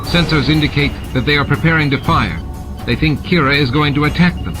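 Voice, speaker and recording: Clipped, alert female space-station science officer, played by a male reader, pitched high, music underneath. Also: fairly quick, lightly acted. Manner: clipped status reporting.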